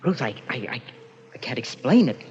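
An actor playing a tormented, obsessed newlywed husband in an old-time radio thriller, halting and repeating himself with melodrama. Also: male voice, mid-range voice, big pitch swings, darkish tone, lightly acted. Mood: subdued, troubled. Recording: dull, lo-fi, faintly noisy vintage radio recording.

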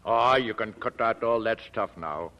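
A man's voice: fairly low pitch, moderate pace, natural voice. An actor playing a shrewd, kindly old grandfather in a 1940s radio drama, teasing in a dry, easygoing way. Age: older. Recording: dull lo-fi old radio recording.

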